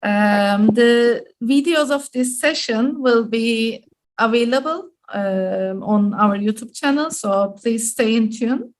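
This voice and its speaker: A woman's voice: a little high, slow pace, a little hiss or hum. A woman with a Turkish accent, hosting an online webinar in a polite, semi-formal way with slightly hesitant phrasing. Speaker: webinar host and moderator.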